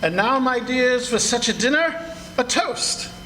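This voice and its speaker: A man's voice: very high voice, lively intonation, unhurried, a crowd in the background. A man playing a kindly, humble father in a live radio play, speaking tenderly and earnestly. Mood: warm, ceremonious. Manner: tender, earnest, swooping delivery. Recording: roomy stage sound with clear noise.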